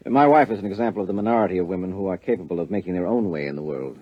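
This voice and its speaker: An actor playing a secretive, suspicious husband in a 1950s American radio drama, speaking in a curt, controlled, threatening way. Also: male voice, deep voice, expressive, rapid-fire, breathy edge. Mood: matter-of-fact. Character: menacing, secretive husband interrogating with cold suspicion. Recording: muffled vintage radio recording.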